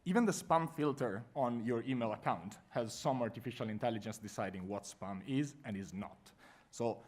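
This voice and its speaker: Italian-accented male presenter, mid-pitched, friendly conference-room delivery. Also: moderate pace. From Italy. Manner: friendly, lively presenting with fillers. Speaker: workshop presenter. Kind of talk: conference workshop talk.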